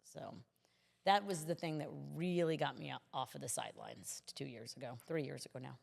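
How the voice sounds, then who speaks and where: A woman's voice: sits low, brisk, gravelly, in a large hall. A middle-aged American woman speaking conversationally as a guest in a podcast interview. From United States. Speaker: interview guest, city commissioner.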